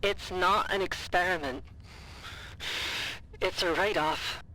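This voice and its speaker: A woman playing a friendly but nervous, evasive radio contact in an audio drama, talking in a casual, halting way. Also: lowish voice, bright, forceful tone, poor audio. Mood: evasive, hesitant. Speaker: voice actor. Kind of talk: naturalistic audio drama acting.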